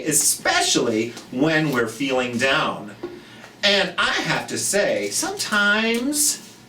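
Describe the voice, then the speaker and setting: A children's storytime host reading a picture book aloud, slowly and expressively, with chatty asides to young listeners. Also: medium pitch. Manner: slow, expressive, speaking directly to children. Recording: roomy, distant mic, background noise.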